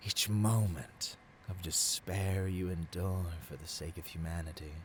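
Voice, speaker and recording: A man's voice: on the low side, a little hiss or hum. A man playing a seductive, arrogant supervillain in a theatrical ASMR roleplay, silky and grandiose, slipping into whispers. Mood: coaxing, intimate. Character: seductive, arrogant male supervillain tempting a hero.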